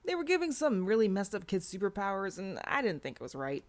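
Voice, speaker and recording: A woman's voice: mid-range voice, very animated, fast talker, voice pushed up, natural voice. A woman narrator plays an eighteen-year-old girl hero in an audiobook. She sounds earnest and awkward, a bit hesitant as she explains herself.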